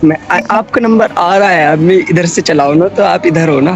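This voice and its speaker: A man's voice: high-pitched, moderate pace. A young man chatting casually in a bashful, playful way. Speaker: game player being pranked. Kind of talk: casual chat. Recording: muffled game voice chat, loud music behind.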